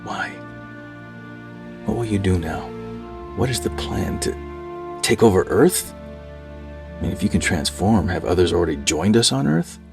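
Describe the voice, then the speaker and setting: A man's voice: low voice, animated, a little slow, gravelly, long pauses, over music, full character voice. A man acting an obsessed researcher held captive, agitated and musing aloud to himself in an audio drama monologue. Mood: anxious wondering. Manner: agitated, musing aloud, swings from murmurs to shouts.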